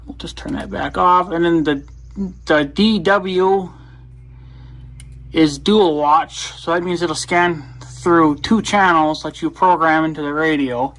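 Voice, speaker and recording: A man's voice: fairly high pitch, fairly even intonation, slow pace, a bit bright, rough recording. A man casually demonstrating gadgets as a video blogger, rambling and thinking aloud as he goes.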